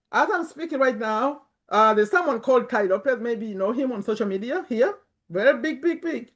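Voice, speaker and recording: Woman, fifties, Ugandan accent, fairly high voice, emphatic and repetitive phrasing, informal motivational host. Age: 50s. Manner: emphatic, repeats words and phrases. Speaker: personal finance YouTuber and community host. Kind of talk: informal motivational talk to camera and online group.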